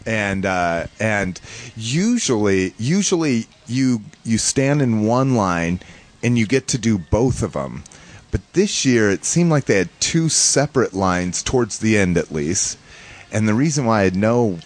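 A man from the United States chatting casually as a podcast host, in a rambling, halting, informal way. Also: lowish voice, sing-song. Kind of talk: casual chat, recounting a fan convention.

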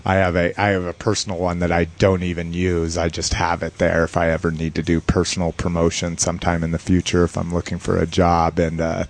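A man co-hosting a podcast, chatting casually in a rambling, self-deprecating way and laughing often. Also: low-pitched. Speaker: podcast co-host. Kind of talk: casual chat.

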